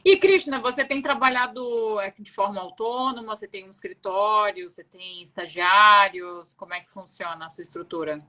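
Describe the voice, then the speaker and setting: A woman's voice: mid-range voice, normal speed, hardly any pauses, sharp tone. A woman hosting a casual live interview over a video call. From Florianópolis, southern Brazil. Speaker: interview host.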